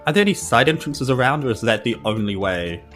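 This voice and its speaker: A woman's voice: extremely low pitch, animated, fast, soft background music. A woman with a New Zealand accent chatting casually and playfully as a player in a tabletop game. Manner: playful, joking.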